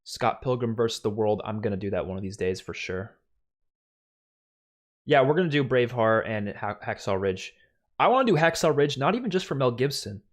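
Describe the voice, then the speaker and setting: Young male streamer, light New York accent, casual and animated with plenty of swearing, close boom mic in a small room. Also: fairly quick. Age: young adult. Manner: animated, swearing, laughs a lot. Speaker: streamer host. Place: small home room, indoors. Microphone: studio mic on boom, headphones.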